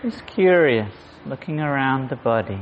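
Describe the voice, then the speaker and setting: A man guiding a meditation in a gentle, unhurried manner, leaving long pauses. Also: mid-range voice, expressive. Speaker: meditation guide. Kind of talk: guided meditation. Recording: muffled audio with clear background noise.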